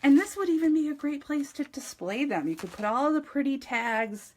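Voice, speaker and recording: A woman's voice: sits high, lively intonation, moderate pace. A middle-aged woman with an upstate New York accent, chatting enthusiastically through a live craft demonstration with frequent ums and restarts. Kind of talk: live-streamed craft demo.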